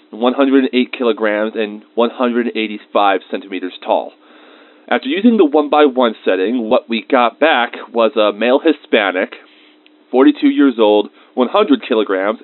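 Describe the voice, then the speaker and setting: A man's voice: mid-range voice, dry recording, natural voice. A man playing a research scientist dictating a confiding, emotionally involved audio diary of lab logs. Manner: confiding, dictated log entries with emotional asides. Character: anxious male research scientist recording lab logs, increasingly shaken. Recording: phone-like thin band-limited sound.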